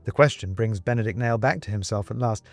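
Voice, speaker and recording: A man narrating a scripted story as an audiobook reader, with wry, deliberate, emphatic phrasing. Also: on the low side, no echo, faint music behind.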